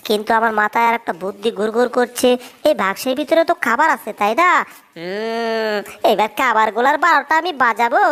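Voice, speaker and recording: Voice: mid-range voice, expressive, fairly quick, pushed and bright. A performer voicing a small, scheming, whiny cartoon mouse in a comedy dub, exclaiming and narrating his plans. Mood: scheming, greedy. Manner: whining, exclamatory, self-narrating.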